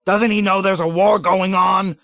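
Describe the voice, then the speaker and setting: A man voicing a petulant, self-righteous male colonel who shouts in high, whiny bursts. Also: big pitch swings, normal speed, dry recording, highs cut off. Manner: shrill, whiny, shouting outbursts.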